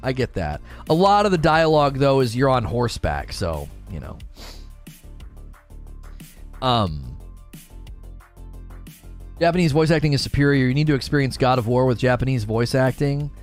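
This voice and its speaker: A man's voice: medium pitch, sing-song, normal speed, pauses often, soft background music. Rambling, enthusiastic male streamer host. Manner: enthusiastic, rambling, repeats himself. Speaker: livestream talk show host. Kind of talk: casual livestream chat reading viewer comments.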